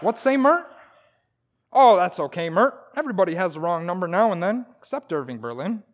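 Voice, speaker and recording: A man's voice: high-pitched, big pitch swings, brisk, slight background noise. A man playing a blustering, wisecracking, stubborn husband in old-time radio comedy, with a folksy, exaggerated, jokey delivery. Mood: chatty.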